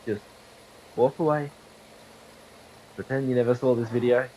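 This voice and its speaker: A young man with a cold and a hoarse, fading voice, rambling profanely and mocking himself while commentating a driving game. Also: medium pitch, steady tone, a little slow, highs cut off, slight background noise. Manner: profane, rambling, self-deprecating. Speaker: gaming video creator. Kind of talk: casual gameplay commentary. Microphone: desktop microphone.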